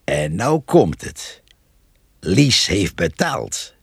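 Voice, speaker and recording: Radio drama countryman: very low, husky, slow-musing gossip who savours his local tales. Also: big pitch swings, dry recording, clean studio sound, exaggerated voice. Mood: sly gossiping. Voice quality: gravelly, husky.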